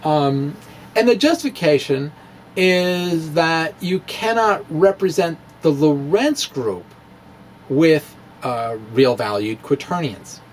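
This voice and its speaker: A man's voice: fairly high pitch, lively intonation, takes it slowly, a little hiss or hum. A middle-aged man explaining math in a chatty, rambling way, chuckling at his own asides. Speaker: physics blogger explaining math. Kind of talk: casual explanatory talk, demonstrating with props.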